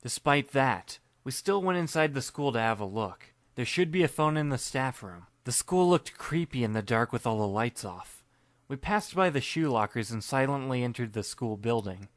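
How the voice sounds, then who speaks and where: A man's voice: mid-range voice, lively intonation, moderate pace, no echo. Dry, wry young male narrator. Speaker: narrator and lead reader. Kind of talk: first-person audiobook narration.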